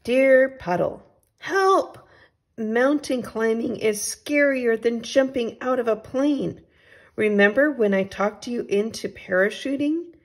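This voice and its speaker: A woman reading as an adventurous, affectionate male storybook traveler, with exclamatory phrasing and drawn-out sign-offs. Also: on the high side, expressive, full character voice. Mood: eager, affectionate. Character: adventurous, affectionate storybook traveler writing postcards to his friend.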